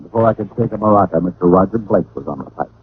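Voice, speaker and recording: An actor playing a wry, deadpan hard-boiled private detective in a 1940s-style radio drama. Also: male voice, sits low, quick pace. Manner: deadpan, wisecracking. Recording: noisy, tinny old radio recording.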